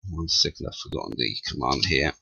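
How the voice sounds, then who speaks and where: A man giving an informal technical demonstration as a presenter, halting and thinking aloud as he goes. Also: a bass voice, lively intonation, vocal fry, bright, forceful tone, breathy edge, no room sound, rough recording. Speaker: amateur radio tutorial presenter. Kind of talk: informal spoken software demonstration.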